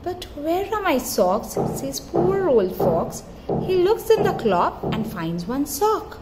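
A woman reading a picture book aloud to a small child in an expressive, singsong, encouraging way, pausing to give simple prompts. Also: high-pitched. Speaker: mother reading to her toddler. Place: tiled floor in a room at home. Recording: clearly noisy home phone recording.

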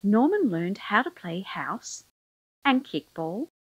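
A woman's voice: medium pitch, sing-song, slow pace, breathy edge, dry recording, highs cut off. A woman reading a children's picture book aloud as storyteller, expressively, drawing out key words for emphasis.

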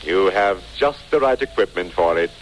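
An actor playing a florid, fussy gentleman sidekick with an arch, theatrical manner, in 1940s radio style. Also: low voice, lively intonation, normal speed. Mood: arch, huffy. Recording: vintage 1940s radio recording, faint noise.

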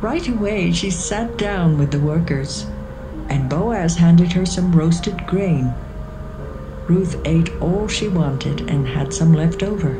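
Female narrator, scripted Bible storytelling, slow delivery, lowish pitch, music bed. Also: noisy background. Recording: music behind the voice.

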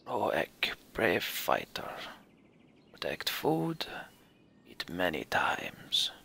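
A man's voice: low-pitched, wildly swooping pitch, very slow, vocal fry, bright, forceful tone, whispered, voice pushed down, exaggerated voice. A man voicing a proud, earnest horse-man warrior from a game, speaking in short, broken fragments. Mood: earnest, halting.

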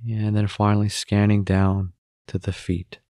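Slow, low-voiced man guiding meditation. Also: monotone, no room sound, clean studio sound. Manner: gentle, unhurried instruction with long pauses. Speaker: meditation guide. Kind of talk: guided meditation narration.

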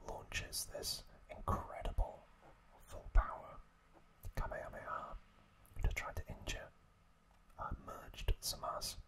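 A man hosting ASMR, narrating in a hushed whisper with drawn-out phrasing, close to the microphone. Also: low-quality recording. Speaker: ASMR host.

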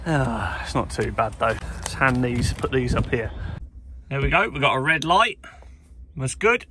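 A man in his thirties with an English accent, chatting casually and excitably as a trucker vlogging from his cab. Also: mid-range voice, unhurried. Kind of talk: casual vlog chat to camera. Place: lorry cab and loading yard. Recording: clear vehicle and outdoor noise.

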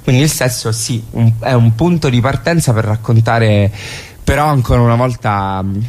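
A man's voice: mid-range voice, moderate pace, darkish tone. A man speaking as a theatre guest in a radio interview, reflecting philosophically and often adding a questioning tag. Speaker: playwright and actor, interview guest.

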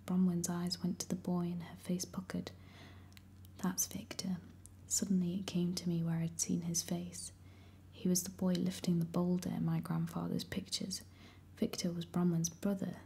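A woman reading a first-person story aloud in a steady, hushed whisper. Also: on the low side, moderate pace, creaky, slight background noise. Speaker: audiobook reader.

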